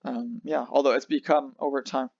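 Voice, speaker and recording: A young man with a Geneva Swiss accent in French rambles through a casual livestream, drifting between French and English and restarting his sentences often. His voice sits at an ordinary pitch. The recording is dry and a little dull and lo-fi. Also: steady tone, normal speed, vocal fry, darkish tone, breathy edge, dry recording. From France near Switzerland. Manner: rambling, restarts, switches language. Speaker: livestream host.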